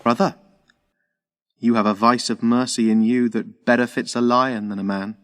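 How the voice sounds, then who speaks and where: A man's voice: mid-range voice, flat delivery, normal speed, smooth, no echo, clean studio sound, in a large hall, natural voice. A man playing a hot-headed young Trojan prince in a Shakespearean audio drama, impassioned and declamatory. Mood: reproachful.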